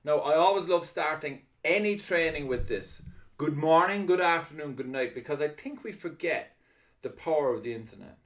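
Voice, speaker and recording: Excitable Irish man pitching a webinar. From Ireland. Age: middle-aged. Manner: fast, excitable, upbeat salesman delivery. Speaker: webinar presenter.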